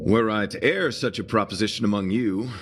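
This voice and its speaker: An actor playing a noble, devoted knight in a video game, speaking with formal, florid, solemn chivalry. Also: male voice, on the low side, a little fast, background noise, lightly acted. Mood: courteous resolve. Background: prominent music.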